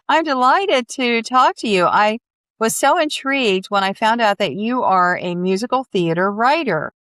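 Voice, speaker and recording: A woman's voice: a little high, expressive, measured pace, fairly bright tone, no echo. A mature woman hosting a conversational interview, reacting with open interest and encouragement. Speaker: interview host.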